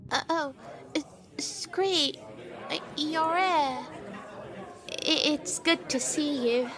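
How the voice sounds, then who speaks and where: A woman's voice: high voice, extremely slow, a crowd in the background. A woman voicing a hesitant hospital worker who stammers and hedges. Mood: nervous.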